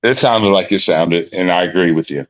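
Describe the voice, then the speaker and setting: A man with a deep voice, a guest in a casual livestream chat, laid-back and repeating his phrases. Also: moderate pace. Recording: dull lo-fi call audio.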